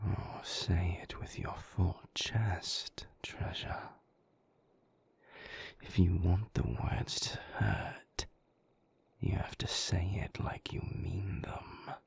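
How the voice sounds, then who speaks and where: Moody male vampire lover, acted by a man, gravelly and very breathy, cutting and deliberate, intimate roleplay audio. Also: low-pitched, level tone, exaggerated voice. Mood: sardonic, scornful. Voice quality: breathy, husky, gravelly half-whisper. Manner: sardonic, cutting, deliberate phrasing.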